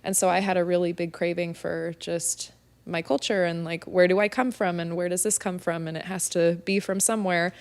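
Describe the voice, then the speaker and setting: A woman guest on a podcast, born and raised in San Diego, whose first language was Spanish. Her voice sits a little low and carries a lot of vocal fry. She talks in a relaxed, reflective way, opening up about her life. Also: brisk. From San Diego, California. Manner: reflective, open storytelling. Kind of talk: casual conversation.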